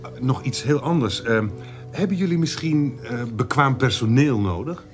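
An actor playing an obliging, glib swindler with a hesitant, ingratiating manner in a Dutch radio drama. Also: male voice, mid-range voice, lively intonation, normal speed, soft background music, natural voice. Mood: sheepish. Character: obliging, glib male swindler, fishing for money and work.

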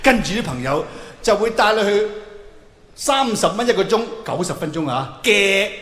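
A man's voice: high-pitched, lively intonation, moderate pace, fairly bright tone. A man with a Hong Kong Cantonese accent doing theatrical, exclamatory stand-up storytelling, acting out dialogue for a live audience. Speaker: stand-up comedian. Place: large hall with live audience. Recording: echoey hall with noticeable reverb, faint noise.

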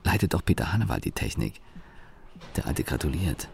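An actor playing an employee's sardonic inner voice, narrating a radio play in dry, clipped observations. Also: male voice, rumbling low, sing-song, brisk, vocal fry, slight background noise, full character voice. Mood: dry, observant. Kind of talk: radio play narration, present-tense monologue.

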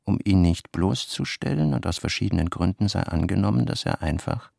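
An actor playing a brooding narrator in a literary radio play, reading dramatically with a gravelly voice. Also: male voice, low voice, animated, a little fast, a little mellow, no echo, clean studio sound, heavily acted. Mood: measured narration.

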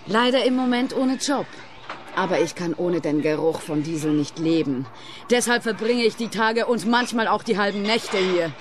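A woman plays an eccentric young female trucker in a radio play. She speaks in a dreamy, matter-of-fact way with a morbid cheerfulness. Her voice sits at an average pitch, over faint ambience. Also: normal speed, noisy background, lightly acted. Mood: wistful, cheerfully morbid. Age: young adult.

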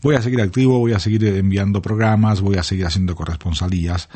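Deep, husky older male radio veteran. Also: steady tone, fast talker, warm tone. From Chile. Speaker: veteran radio broadcaster, guest. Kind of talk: casual interview chat.

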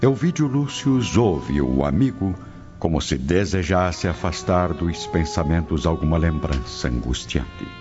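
A man's voice: low-pitched, expressive, measured pace. A man with a Brazilian accent giving dramatic scripted narration as the narrator of a radio drama. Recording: dull lo-fi audio. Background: prominent music.

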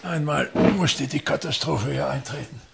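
An actor playing a pompous aging state actor in a radio play, declaiming and grumbling bitterly, swinging between mutters and outbursts. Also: male voice, medium pitch, normal speed, gravelly, a bit bright, a little hiss or hum. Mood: disgusted, gloomy. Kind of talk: theatrical radio-play monologue.

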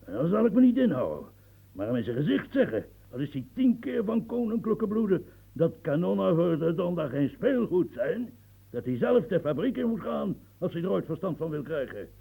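A proud, restless old marshal in a radio play, his voice pitched high yet gravelly and husky, swinging widely up and down. He stammers and repeats words as his temper rises. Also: sing-song, normal speed, dark tone, full character voice, rough recording. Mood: bitter, worked-up. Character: aging embittered male French marshal, proud and restless.

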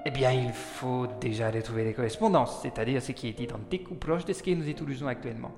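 A man's voice: medium pitch, quick pace, close to an everyday voice. A man playing a cultured, gallant music scholar in a period French audio drama, speaking with formal, refined courtesy. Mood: fascinated. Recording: echoey hall reverb, music behind.

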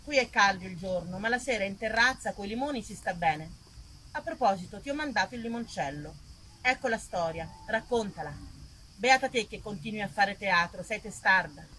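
A woman performing a live theatrical monologue, expressive and dramatic, speaking straight to her listeners as a storyteller. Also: medium pitch, normal speed, a little hiss or hum, rough recording. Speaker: stage storyteller, actress. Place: live performance before a small audience.

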